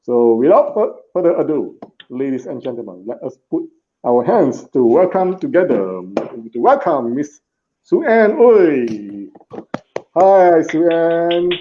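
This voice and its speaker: Man, live-stream interview host, earnest, slow with uh fillers and restarts, muffled lo-fi audio. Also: a little high, big pitch swings, slight background noise.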